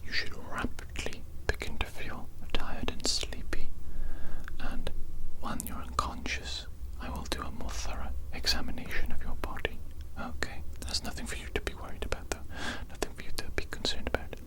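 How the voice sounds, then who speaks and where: A performer voicing a courteous but menacing evil doctor in a whispered, unhurried ASMR roleplay. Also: natural voice, rough recording. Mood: soothing, menacing. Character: polite, sinister evil mad doctor captor.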